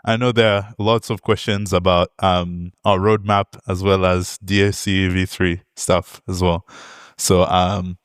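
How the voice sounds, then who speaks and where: A man's voice: low-pitched, clear, resonant tone, no room sound, studio quality. A young man from Zambia giving a technical conference talk, friendly, with many ums and uhs and light jokes. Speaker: conference speaker, product manager. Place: conference hall.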